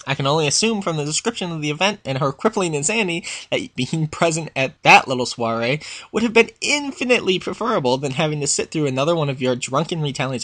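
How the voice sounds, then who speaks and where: A man's voice: mid-range voice, pushed and bright. A man voicing a haughty, exasperated royal pony princess in a dramatic fan fiction reading, with pompous, scathing, formal diction. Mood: withering, disappointed.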